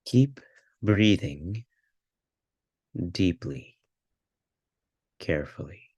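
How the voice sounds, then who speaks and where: A man leading a guided meditation, giving calm, measured instructions in short phrases with pauses between them. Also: low-pitched, breathy edge, dry recording, lo-fi sound.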